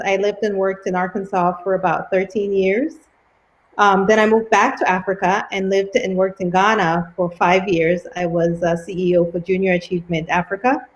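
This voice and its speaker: A woman's voice: medium pitch, highs cut off. A woman in her forties from Cameroon, the new head of a school, introducing herself in a friendly way with frequent ums over a video call. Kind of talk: introductory welcome speech.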